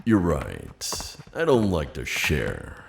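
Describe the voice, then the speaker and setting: A man playing a suave, skeptical demon buyer in an ASMR audio roleplay, with a deep voice and a sardonic, halting manner. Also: huge pitch swings, vocal fry, noisy background, full character voice. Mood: sardonic, intrigued. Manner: flirty, sardonic, hesitant pauses and drawn-out words.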